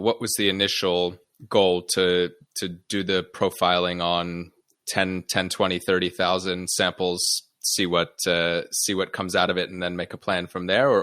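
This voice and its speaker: A man's voice: low-pitched, a bit bright, no room sound, clean studio sound. A man hosting a podcast, introducing and questioning a guest in a conversational interview style.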